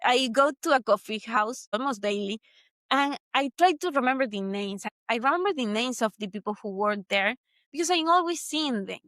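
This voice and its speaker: A woman's voice: a little high, expressive, moderate pace, fairly bright tone, no echo. A woman from Venezuela with a Venezuelan Spanish accent, hosting a solo motivational talk in an earnest, reflective way that repeats points for emphasis. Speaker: podcast host.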